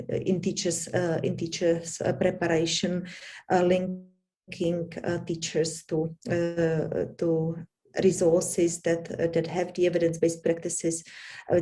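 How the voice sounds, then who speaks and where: A woman with a Czech accent giving a panel presentation, halting often with fillers and restarts. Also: lowish voice, warm tone. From Czech Republic. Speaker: academic panelist.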